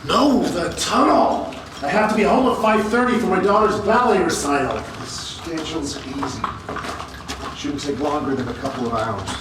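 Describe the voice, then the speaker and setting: A man acting as a brisk operative, voice raised fairly high, heard from a distance in very heavy echo. It is found-footage horror. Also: background noise, natural voice. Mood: impatient, casual. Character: brisk male field operative, a family man.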